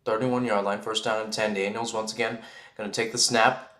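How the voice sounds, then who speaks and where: A man calling live American football play-by-play in an excitable radio style, mixing big calls with casual asides. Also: fairly low pitch, fairly quick. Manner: excitable, calls surging plays loudly then drops back to casual asides. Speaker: play-by-play sports commentator. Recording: roomy echo on the voice.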